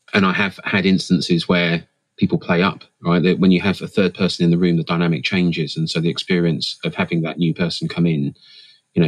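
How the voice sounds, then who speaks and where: A man answering interview questions as an HR leader and coach, thoughtful and measured with frequent fillers and restarts. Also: low voice, no echo, poor audio.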